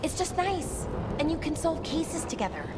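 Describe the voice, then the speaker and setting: An actress playing an awkward, eager, sweetly nosy young companion in a fantasy video game, flustered and enthusiastic. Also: female voice, very high-pitched, very animated, normal speed, loud music behind the voice, low-quality recording. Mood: gushing. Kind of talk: video game cutscene acting. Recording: loud ambient noise behind.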